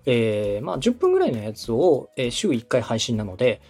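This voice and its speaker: A man's voice: mid-range voice, wildly swooping pitch, moderate pace, darkish tone, soft background music. A man from Osaka speaking as a podcast host, giving polite, organized step-by-step advice in a conversational way. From Osaka, Japan. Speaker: podcast host and radio director advising a beginner.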